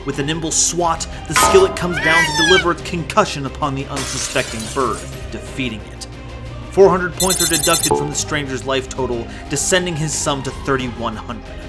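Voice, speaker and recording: A man's voice: a little high, wildly swooping pitch, normal speed. A man narrating an audio drama from a script, in a theatrical storytelling manner. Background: prominent music.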